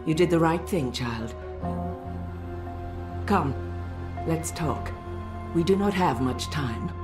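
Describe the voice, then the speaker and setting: A woman's voice: low-pitched, lively intonation, takes it slowly. An actress playing a grave, stately older mother and leader in a video game. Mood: solemn approval. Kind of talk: video game cutscene acting. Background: background music.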